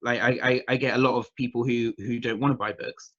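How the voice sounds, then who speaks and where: A man's voice: medium pitch, steady tone, a little fast, a bit bright, dull audio. A man in his thirties with a southern English accent, chatting casually as an interview guest in a rambling, hesitant way. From England, near London. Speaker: interview guest, witchcraft practitioner.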